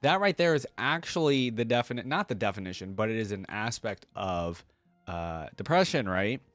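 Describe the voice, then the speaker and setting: A man's voice: on the low side, lively intonation, a bit bright, no echo. A man hosting a reaction video, chatting casually and reading text aloud with frequent asides. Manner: chatty, pauses to add asides.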